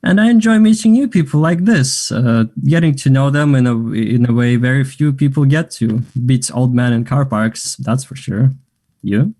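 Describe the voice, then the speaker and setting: Confident man acted by a man, medium pitch, mellow tone, flirty, casual, hesitant phrasing, play reading. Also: normal speed, lightly acted. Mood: casual, frank. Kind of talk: table read of a stage play.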